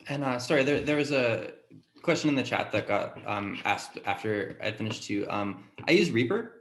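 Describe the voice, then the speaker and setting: A man's voice: mid-range voice, animated, moderate pace. A man talking casually on a panel in an informal, self-effacing way. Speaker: sound designer on a talkback panel. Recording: roomy, echoing sound.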